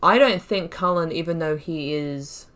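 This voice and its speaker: A woman's voice: sits low, unhurried, fairly bright tone. A woman casually commenting on a game playthrough, thinking aloud in a chatty way and laughing often. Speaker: gaming YouTuber.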